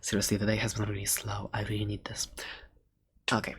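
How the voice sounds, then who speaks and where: A performer voicing a chatty, excitable dragon-egg shopkeeper and adventurer in a soft-spoken ASMR roleplay, playful and rambling with light sarcasm. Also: deep voice, fairly quick, slightly rough. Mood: conspiratorial, thoughtful.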